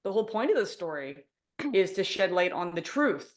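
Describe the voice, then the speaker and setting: A woman talks casually over a video call. Her pitch sits in the middle of the range, and she thinks aloud, often reaching for "like" and "I mean" as she goes. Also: sing-song, moderate pace. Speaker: book club member who picked the book. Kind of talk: casual book club chat on a video call.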